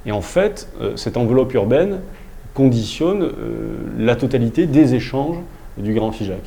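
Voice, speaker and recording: A man, a local official, explaining in a public presentation style with halting, pause-filled delivery. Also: medium pitch, animated, vocal fry, mellow.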